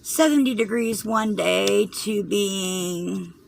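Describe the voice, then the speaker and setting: A hoarse woman with a Southern US accent, rambling through a craft tutorial and often clearing her throat as she fights a cold. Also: mid-range voice, slow, a little hiss or hum, low-quality recording. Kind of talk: chatty loom tutorial.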